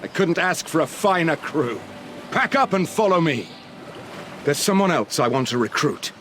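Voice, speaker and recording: An earnest, animated ship captain. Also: male voice, a little high, normal speed, a little hiss or hum. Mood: resolute. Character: earnest male ship captain recruiting his crew. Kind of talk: video game cutscene acting. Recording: orchestral music behind the voice.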